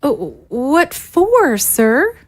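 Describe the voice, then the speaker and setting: A woman's voice: high-pitched, sing-song, extremely slow, full character voice. An actress playing a timid, apologetic young female recruit, hesitant and kind, in an audio drama. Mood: nervous obedience. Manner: hesitant, meek.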